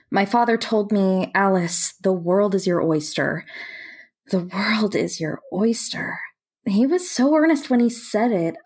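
A woman acting in an audio play as an earnest, dreamy mapmaker, speaking reflectively and pausing between phrases. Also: on the low side. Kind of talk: audio play monologue and dialogue.

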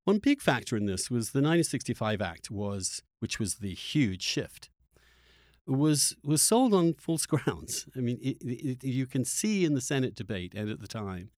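A man from England talks as a podcast host in a dry, close acoustic. His voice is medium in pitch, and he speaks reflectively and haltingly, doubling back on words as he thinks aloud. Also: sing-song, darkish tone, no room sound. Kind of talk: conversational political discussion.

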